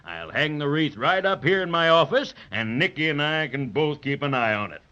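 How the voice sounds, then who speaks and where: Jolly old father christmas, kindly, bright lively voice, muffled vintage radio show. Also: fairly high pitch, moderate pace. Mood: genial. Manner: genial, hesitant hemming. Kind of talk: 1930s radio acting.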